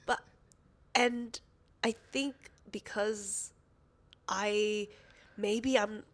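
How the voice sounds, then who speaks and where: A woman's voice: mid-range voice, steady tone. A woman in her thirties, a podcast co-host, talking casually and a little sniffly, often restarting her sentences. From Australia. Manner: rambling, self-interrupting, quick to laugh. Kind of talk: casual chat.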